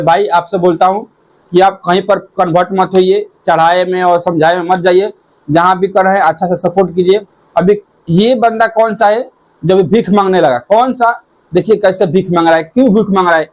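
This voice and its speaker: A man's voice: sits high, low-quality recording. A man gives informal commentary to viewers, talking in a repetitive, hectoring way. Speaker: YouTube commentator.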